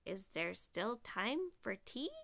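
Woman as hapless hungry hog, rueful, polite, grateful, children's storybook, unhurried. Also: medium pitch, big pitch swings, gravelly, pushed and bright, no echo, heavily acted. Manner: courteous, rueful.

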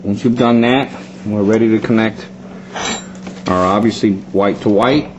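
A man's voice: fairly low pitch, fairly even intonation, measured pace. A man methodically instructing viewers step by step in a hands-on DIY wiring demonstration. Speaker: plumbing instructor. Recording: dull lo-fi sound with faint noise.

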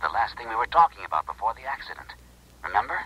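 An anxious, insistent caller in an old radio play. The voice is low and husky, with a sharp edge, coming through a telephone effect. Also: male voice, sing-song, fast, exaggerated voice, low-quality recording. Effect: telephone filter. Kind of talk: 1970s radio drama acting.

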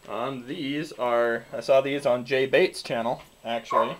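Quiet matter-of-fact guy in a backyard workshop, noisy camera mic. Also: medium pitch, steady tone, a little slow. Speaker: young woodworker showing his shop. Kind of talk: casual explanation. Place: small backyard wooden workshop.

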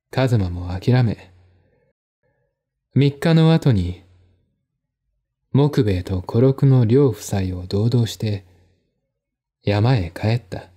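Slow, mellow male mystery narrator. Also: medium pitch, slightly rough. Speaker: audiobook narrator. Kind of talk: dramatic literary reading of a mystery novel.

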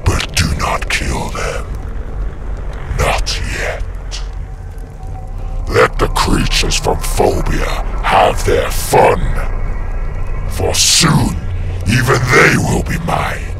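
An actor playing a tyrannical, wrathful demonic lord in a radio play, gravelly and commanding, with slow pompous menace. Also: male voice, low voice, heavily acted. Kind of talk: radio play villain acting.

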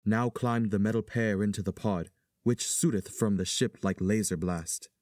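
A man's voice: on the low side, fairly even intonation, moderate pace, darkish tone, dry recording, clean studio sound, lightly acted. A man playing a formal chorus, narrating in rhymed verse for a Shakespearean dramatic reading. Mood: stately.

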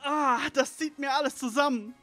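A woman playing a cheeky, excitable young detective sidekick in an audio drama parody. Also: sits high, moderate pace, soft background music.

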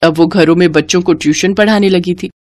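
A woman's voice: fairly low pitch, fairly even intonation, a little fast, over music. A woman reading a scripted story as its narrator.

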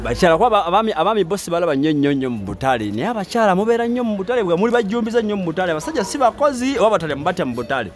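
High-pitched, fast-talking Ugandan male host. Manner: animated, repetitive, bantering. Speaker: street interview host. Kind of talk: lively vox-pop presenting. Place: busy open-air market.

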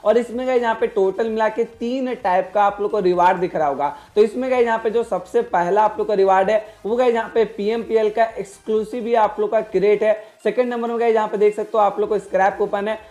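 A man's voice: sits high, darkish tone. A man with an Indian accent giving an informal tutorial voice-over as a gaming creator, repeatedly addressing his viewers. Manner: repetitive, constantly addressing viewers as guys.

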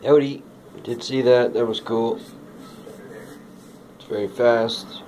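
A man filming in his dark house, talking softly and friendly to orbs he hopes are there, his voice a little hoarse. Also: medium pitch, fairly even intonation, takes it slowly. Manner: talks softly to unseen orbs, friendly greetings. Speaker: vlogger filming orbs at home. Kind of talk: casual talk to the camera. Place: dark rooms of a house, indoors. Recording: dull lo-fi camera audio with noise.

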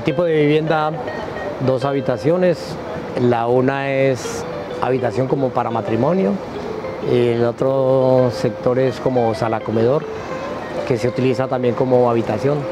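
A middle-aged man, speaking in an orderly, deliberate way. He is recorded outdoors in a city plaza, with music playing behind him. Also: medium pitch, poor audio. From Colombia. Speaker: interviewee.

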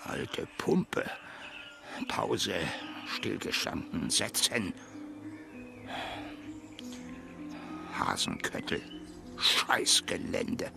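An older actor playing a gruff old soldier who mutters to himself in clipped military fragments in a radio play. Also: male voice, sits low, huge pitch swings, drawn-out words, over music, full character voice. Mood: grumbling, weary. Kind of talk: German radio play acting.

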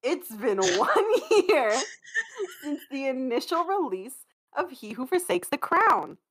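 A woman's voice: high-pitched, big pitch swings, takes it slowly, a bit bright. A young woman co-hosting a podcast, chatting casually with a dry, self-deprecating, joking manner. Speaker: podcast co-creator and host. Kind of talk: casual banter and thank-you message.

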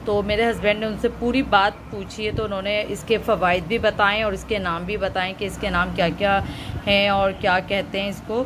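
A woman's voice: mid-range voice, steady tone, brisk. A woman vlogger chatting casually to her viewers as she shows them things at home. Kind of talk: casual home vlog chat. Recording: noisy background.

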